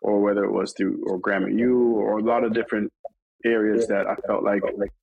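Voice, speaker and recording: A man's voice: fairly low pitch, flat delivery, fairly quick, creaky, breathy edge, dry recording, narrow, tinny audio. A man from New York talking casually in an interview, rambling with many fillers and restarts. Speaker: interview guest.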